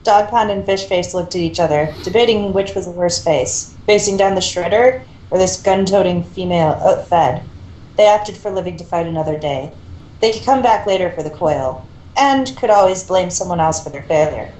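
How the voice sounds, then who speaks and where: Mellow woman reading in an echoey room. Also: on the low side, normal speed. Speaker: co-reader in a group reading. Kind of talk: amateur fan fiction narration and casual chat. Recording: roomy echo, faint background noise.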